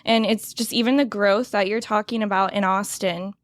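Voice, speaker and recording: A woman's voice: medium pitch, steady tone, no echo, studio quality. A woman from the United States with no accent, hosting a podcast in a chatty, upbeat way. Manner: chatty, upbeat, quick to react. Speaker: podcast host. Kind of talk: casual interview chat.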